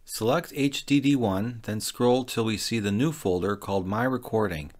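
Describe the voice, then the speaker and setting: A man's voice: on the low side, normal speed, a little mellow. A man presenting a product review as scripted, step-by-step narration. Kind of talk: scripted narration of a gear demonstration.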